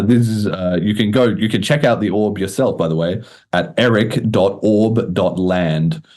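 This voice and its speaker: A man's voice: deep voice, a little mellow. A man hosting a podcast, explaining things in a casual, informal way with the odd swear word. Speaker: podcast host.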